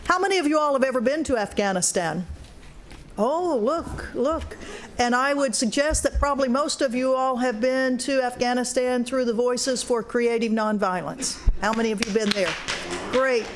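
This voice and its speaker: A woman moderating a panel, introducing speakers in a measured way and sometimes repeating words. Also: on the high side, slight background noise.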